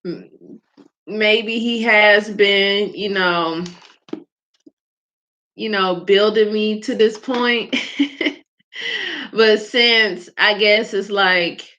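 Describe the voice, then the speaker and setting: Soft-spoken woman with a bright lo-fi voice. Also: medium pitch, steady tone, very slow, pauses often. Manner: soft-spoken, chatty, laughs often. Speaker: host of a live faith vlog. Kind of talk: casual spoken reflection. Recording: dull lo-fi sound.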